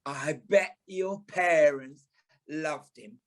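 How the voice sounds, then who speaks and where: An actor playing a sentimental, camp middle-aged British performer in an audio play, with a theatrical, affectionate manner. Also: male voice, a little high, very slow. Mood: earnest, consoling. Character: sentimental, camp older male performer, wry and fond.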